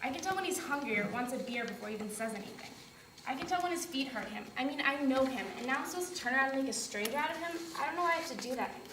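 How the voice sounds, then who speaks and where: A woman plays an anxious young American woman who talks fast, pleading and emotional, in a slightly high voice. She is heard from a distance in an echoey space with clear noise around her. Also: animated. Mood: upset. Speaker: stage actress. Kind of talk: stage play performance. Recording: roomy, distant mic, noisy.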